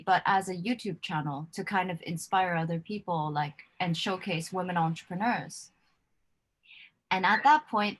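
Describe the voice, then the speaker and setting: A woman hosting a relaxed live chat show over a video call. She talks in a loose, chatty way, laughs easily and fills her sentences with "like" and "you know". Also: medium pitch, breathy edge, poor audio. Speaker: host. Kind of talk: casual livestream interview.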